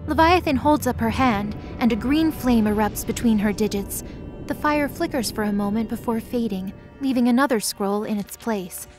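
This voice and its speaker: A woman's voice: on the high side, moderate pace, music in the background. A woman reading scripted third-person narration for a fantasy audio drama.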